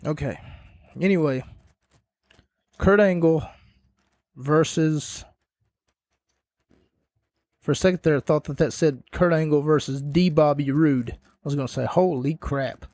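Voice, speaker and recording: Slow-talking, slightly hoarse male podcaster. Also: on the high side, darkish tone, no echo. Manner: rambling, sarcastic asides, self-correcting. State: starting to lose his voice. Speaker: podcast host reviewing wrestling matches. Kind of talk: casual solo podcast recap.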